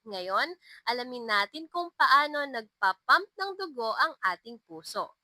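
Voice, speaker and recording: Kind, fondly teasing young schoolmistress played by a woman narrator, animated and bright, audio love story. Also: fairly high pitch, unhurried, dry recording, exaggerated voice. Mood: teaching. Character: kind, playful young female village schoolteacher.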